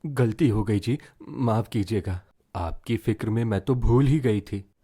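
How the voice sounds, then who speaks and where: A man's voice: lowish voice, a little slow, warm tone, slightly rough. A man voicing a young village wife who is anxious, devout and superstitious, speaking in a fretful, pleading way. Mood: worried, sincere.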